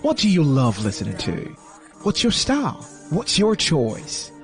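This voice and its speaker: A man delivers a scripted radio promo. His pitch is high and swings widely from phrase to phrase, over a faint music bed. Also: wildly swooping pitch, unhurried. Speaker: station promo voice.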